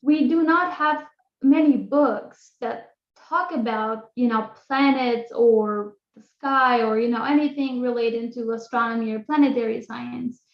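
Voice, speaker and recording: A woman's voice: fairly high pitch, measured pace. A young woman from Kosovo, a native Albanian speaker with an Albanian accent, telling her own story informally as a guest speaker in a talk. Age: young adult. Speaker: guest speaker, astronomy graduate student. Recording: roomy echo, dull muffled online audio.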